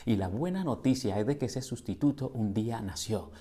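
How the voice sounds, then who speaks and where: A middle-aged Colombian man preaches a sermon in a mellow, warm voice at an average pitch. He speaks in earnest and poses questions to the congregation, and he builds to emphatic repeated lines. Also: expressive, a little fast. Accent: Colombian Spanish. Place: church sanctuary.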